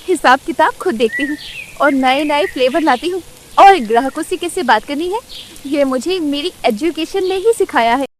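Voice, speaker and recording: A woman voicing a confident young woman who sells samosas and speaks calmly about her work in a storybook cartoon. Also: high voice, slight background noise. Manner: calm, self-assured.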